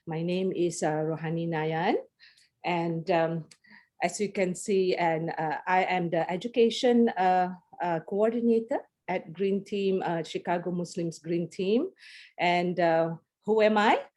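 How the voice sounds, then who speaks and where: A middle-aged Malaysian woman speaks in a fairly low voice over a video call. She presents in a friendly, casual way, pausing often on fillers and restarting her sentences. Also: darkish tone. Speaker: guest presenter.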